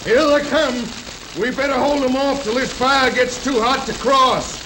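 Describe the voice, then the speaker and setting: An actor playing a male posse rider who shouts urgent orders in a 1930s western film. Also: very high-pitched, steady tone, a little slow. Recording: very hissy 1930s film soundtrack.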